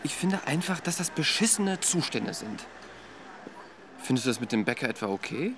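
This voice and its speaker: Sardonic, very animated actor as grumbling man. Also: mid-range voice, normal speed, noisy background, close to an everyday voice. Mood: indignant, sardonic. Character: grumbling, joking male partner of an expectant woman. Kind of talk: naturalistic radio drama dialogue.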